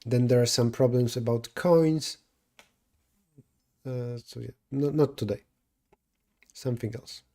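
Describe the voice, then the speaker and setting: Mellow-voiced Polish man thinking aloud. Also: medium pitch, unhurried, pauses often. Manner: thinking aloud, self-correcting mid-sentence. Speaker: streamer solving coding problems. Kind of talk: live coding stream, answering chat.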